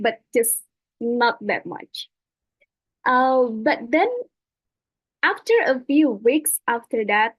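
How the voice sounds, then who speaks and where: A woman's voice: fairly high pitch, no echo, rough recording. A young woman from Indonesia giving a webinar talk over slides, hesitant with many fillers and restarts. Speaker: webinar speaker. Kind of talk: presentation with slides.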